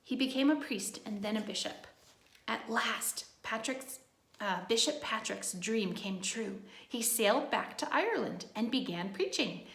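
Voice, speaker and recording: A woman's voice: on the high side, lively intonation, unhurried, vocal fry, fairly bright tone, echoey room, low-quality recording. A woman teacher reading a picture book aloud to young children, adding simple, encouraging asides as she goes.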